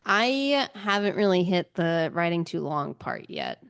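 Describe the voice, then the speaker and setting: A woman's voice: lowish voice, very animated, measured pace, clear, resonant tone, dry recording. Casual woman co-host, close dry sound. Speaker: book podcast co-host. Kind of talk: casual chat.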